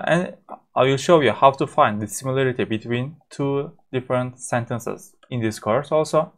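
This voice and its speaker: A man with a Turkish accent, a native Turkish speaker, teaching a course by walking through a software demo, with frequent fillers and halting restarts. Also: mid-range voice. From Turkey. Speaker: course instructor.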